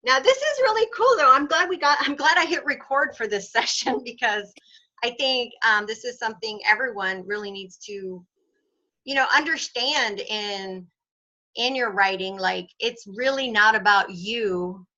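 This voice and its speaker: A woman hosts a casual video call, talking in a chatty, explanatory way and laughing often. Her voice is bright and fairly high. The call audio sounds dull and lo-fi. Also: moderate pace. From North Texas. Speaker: host of membership call. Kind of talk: casual group video chat.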